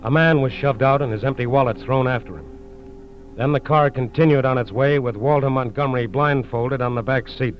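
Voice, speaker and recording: An actor with an American accent as a solemn narrator, delivering dramatic scripted 1940s radio crime narration. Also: male voice, medium pitch, a little fast, close to an everyday voice. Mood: grave. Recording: muffled old radio broadcast. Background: dramatic music.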